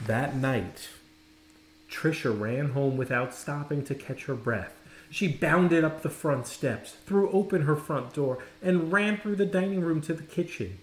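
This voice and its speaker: A man narrating a children's storybook expressively, with dramatic, deliberate phrasing and pauses. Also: fairly high pitch, slight background noise. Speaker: storybook reader. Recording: roomy, echoing indoor sound.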